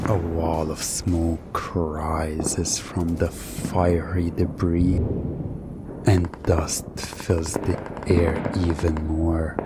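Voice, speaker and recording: A man narrating a hypnotic second-person story in a theatrical, deliberate way, with dramatic pauses. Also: low voice, heavy background noise.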